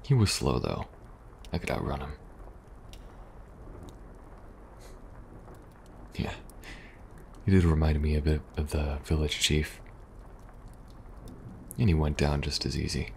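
A man playing a weary, kind young government agent in a soft-spoken, halting ASMR roleplay. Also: a bass voice, creaky, a little hiss or hum. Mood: weary, reminiscing. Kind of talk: intimate ASMR audio roleplay.

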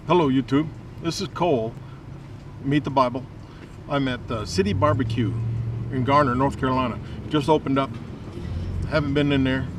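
A man's voice: mid-range voice, moderate pace, pauses often. An older man talking casually to camera as a Bible vlogger, giving a devotional. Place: outdoors in a restaurant parking lot. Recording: distant mic with outdoor car noise.

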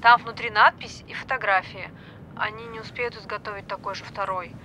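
Actress as bright-voiced, rambling apologetic young woman. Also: mid-range voice, fairly even intonation, a little fast, a little hiss or hum, close to an everyday voice, low-quality recording. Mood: pleading, anxious. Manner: hesitant, rambling, informal. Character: chatty apologetic young woman doctor moonlighting as stripper. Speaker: actress in an audio film. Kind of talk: naturalistic audio drama phone conversation.

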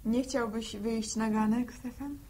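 A woman's voice: a little high, fairly even intonation, a little slow, warm tone, slight background noise, poor audio. An actress playing a proud, self-reliant young village woman, plain-spoken and earnest, in a 1960s radio drama. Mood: tender, guarded. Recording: old 1960 radio drama recording.

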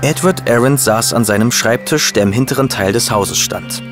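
An actor playing a detective's loyal assistant and chronicler in a German audio drama. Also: male voice, medium pitch, moderate pace, over music, close to an everyday voice. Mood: narrating. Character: loyal male detective's assistant and chronicler, eager, slightly baffled.

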